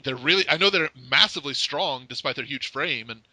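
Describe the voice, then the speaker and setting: A man hosts a relaxed chat and tells stories with a laugh never far away. His voice is very bright and sharp, and it swoops up and down a lot. It sounds dry and close, with no room sound around it. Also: fairly high pitch, fairly quick, no room sound. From from the West, living in Japan. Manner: chatty, laughs often, tells anecdotes. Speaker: podcast host. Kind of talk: casual interview chat.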